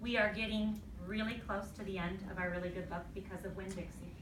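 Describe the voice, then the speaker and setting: A woman teacher reading aloud to a class and giving pupils deliberate, instructive directions, checking that they follow along. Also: mid-range voice, fairly even intonation. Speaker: elementary school teacher. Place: classroom, recorded from a distance. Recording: roomy, distant mic, faint noise.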